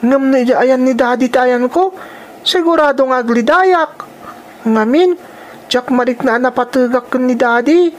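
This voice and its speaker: A woman's voice: high voice, unhurried. A woman playing an earnest young child in a radio drama, plaintive, with a Filipino accent. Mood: worried, sad. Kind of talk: Ilocano radio drama acting.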